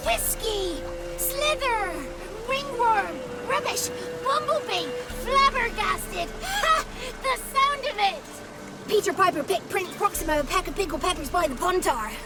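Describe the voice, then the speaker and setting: A mischievous little godling boy from a fantasy game, high-voiced and swooping widely in pitch. He is theatrical and wordy, relishing every florid phrase, with music playing underneath. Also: animated, measured pace. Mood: gleeful, savouring. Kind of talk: video game voice acting.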